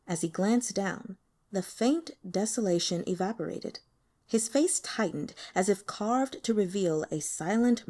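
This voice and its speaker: A woman narrating a novel as an audiobook reader, in an expressive scripted style. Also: medium pitch.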